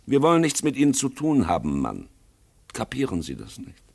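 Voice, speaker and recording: An actor playing a brusque, dismissive police commissioner in a German radio play, curt and officious, with a gravelly, husky voice. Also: male voice, medium pitch, wildly swooping pitch, moderate pace, a little mellow, exaggerated voice. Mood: scornful.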